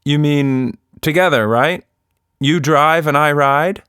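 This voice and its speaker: A man's voice: fairly high pitch, takes it slowly, a bit bright, clear, resonant tone, dry recording, studio quality, pitched above the performer's own voice. A man voicing a young girl, eager but argumentative and a bit reluctant, in a children's story. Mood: hesitant.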